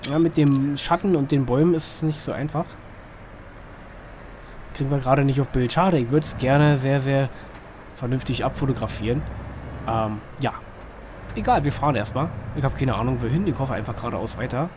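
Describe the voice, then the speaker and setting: Man, slightly hoarse, mid-pitched, casual gaming commentary, rambling and colloquial, engine noise behind. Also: normal speed, pauses often. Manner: colloquial, rambling, asks himself questions. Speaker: gaming video host. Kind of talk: casual chatty driving-game commentary. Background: truck simulator engine sound.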